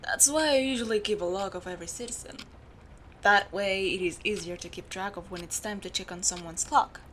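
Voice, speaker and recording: A woman playing a meticulous, secretive steampunk clock repairer in an audio roleplay, explaining things patiently and in a measured way to the listener. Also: mid-range voice, a bit bright, a little hiss or hum, natural voice. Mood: calm explaining. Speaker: amateur voice actor.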